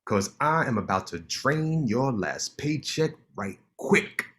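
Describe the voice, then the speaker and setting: A man's voice: mid-range voice, big pitch swings, slow pace, fairly bright tone. An actor in a staged reading playing a cocky male lawyer who trades teasing trash talk with his best friend.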